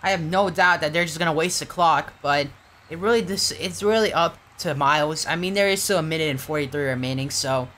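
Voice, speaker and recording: A tired young man doing casual, rambling play-by-play commentary for an online game league, getting excited on big plays. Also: fairly high pitch, brisk.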